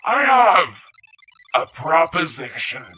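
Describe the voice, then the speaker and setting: An actor playing a coolly menacing, scheming spymaster who talks in a deliberate, formal, insinuating way. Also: male voice, fairly high pitch, very animated, sharp tone, faint music behind, exaggerated voice. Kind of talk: audio comedy drama acting.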